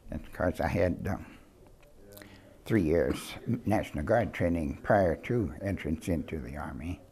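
A man's voice: a bass voice, a little slow, vocal fry, dark tone. An elderly American man, a veteran, recollecting in a studio interview. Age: 70s or older.

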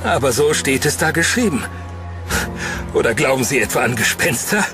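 A man's voice: medium pitch, big pitch swings, normal speed, music in the background, lightly acted. A man playing a gossipy, sceptical passer-by in a horror audio drama, chatty and knowing. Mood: mocking. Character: sceptical, gossipy male passer-by, mildly mocking.

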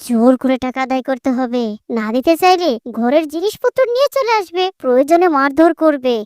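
Casting: actress as sharp-tongued cartoon wife, extremely high voice, scheming and coaxing. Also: female voice, lively intonation, a little fast, no room sound, studio quality.

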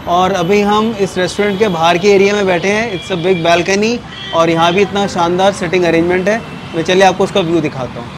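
A man's voice: high voice, a little fast, noisy background. A man co-hosting a hotel vlog, upbeat and casual, tasting food for viewers. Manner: upbeat, mixes English phrases. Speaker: travel vlogger co-host. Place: hotel restaurant and balcony.

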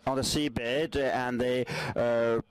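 A man with a mid-pitched voice gives a formal report in a large conference hall. He pauses often on filler sounds between phrases. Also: steady tone, clean tone. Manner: formal, hesitant, many fillers. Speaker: panelist.

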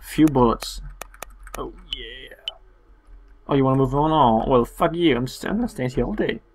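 A man giving casual, sarcastic and playful commentary on a horror game, swearing and reading game text aloud between quips, with a husky voice. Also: mid-range voice, moderate pace. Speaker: let's play commentator.

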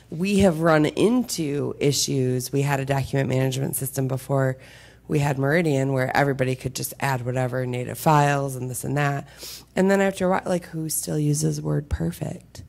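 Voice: medium pitch, vocal fry, darkish tone. A panelist speaking conversationally about old file formats, with rhetorical questions. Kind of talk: panel discussion remarks.

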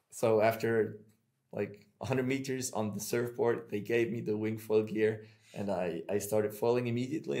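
A Swiss man in his thirties hosts a casual podcast from a small room at home. He tells his stories in an animated, chatty way. The sound comes through earbuds on a video call. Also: mid-range voice. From Switzerland. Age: 30s. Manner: chatty, animated storytelling with hand gestures. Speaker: podcast host.

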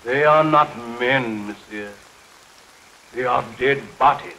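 An actor playing a grave, fearful coach driver in a 1930s horror film, with a solemn, deliberate delivery. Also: male voice, mid-range voice, bright, forceful tone. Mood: ominous, solemn. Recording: noisy 1930s film soundtrack under rain. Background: rain and thunderstorm.

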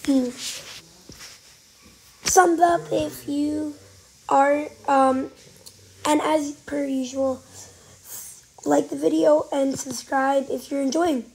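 A woman with a cold giving casual, excitable commentary while playing a game, sometimes dropping to a whisper. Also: high-pitched, takes it slowly, pauses often, poor audio. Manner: chatty, excitable, trailing off into whispers. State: has a cold. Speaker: gaming channel host. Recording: faint background noise.

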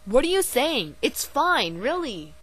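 A woman's voice: sits high, very animated, a little slow, a bit bright, slightly rough, full character voice. A woman in an amateur anime fan dub, voicing a mild, helpful, polite young schoolboy. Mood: concerned. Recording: noisy home microphone with clicks.

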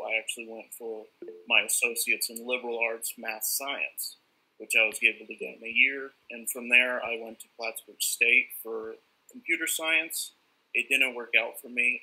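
A man's voice: mid-range voice, bright, forceful tone, husky, rough recording. A young man from northern New York, a nursing student, candidly telling his own story over a laptop mic on a video call. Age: young adult. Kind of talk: casual video call talk. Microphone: laptop or webcam mic on video call.

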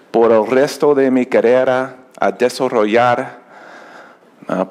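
A middle-aged man with an American English accent, a native English speaker, giving a prepared, earnest keynote at an auditorium podium. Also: mid-range voice. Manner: slow, careful, earnest delivery. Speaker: keynote speaker, project director. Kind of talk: prepared speech in a second language. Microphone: podium microphone.

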